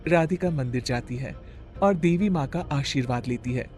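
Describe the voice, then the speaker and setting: A man narrating a cartoon horror story in a steady storytelling manner. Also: medium pitch, normal speed, soft background music.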